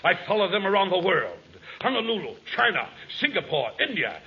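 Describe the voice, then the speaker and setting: A man's voice: sits high, very animated, a little fast, husky, full character voice. An actor playing a raggedy vagrant convict who tells his tragic life in florid, theatrical speech, in 1940s radio comedy style. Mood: brooding, dramatic. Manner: florid, theatrical, formal diction. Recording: muffled old radio broadcast recording.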